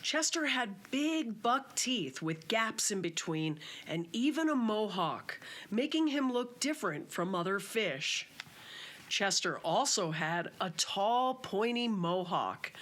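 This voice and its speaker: A woman narrates a children's storybook at a slow, careful pace. Her pitch sits in an ordinary middle range. The delivery is simple and measured, as an author reading aloud. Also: fairly bright tone.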